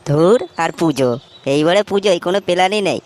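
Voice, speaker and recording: A man's voice: high voice, fast, a little mellow, a little hiss or hum, lightly acted. A man voicing a broke, grumbling, booze-loving young slacker in a comic cartoon, dismissive and slangy, with a West Bengal Bengali accent.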